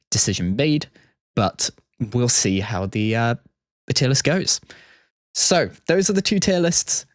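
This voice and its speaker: A man with a fairly low voice hosts a livestream in casual, methodical explanation. The sound is close and dry, with very little room around him. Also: no echo. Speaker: livestream host. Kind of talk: casual scripted-free stream commentary and explanation.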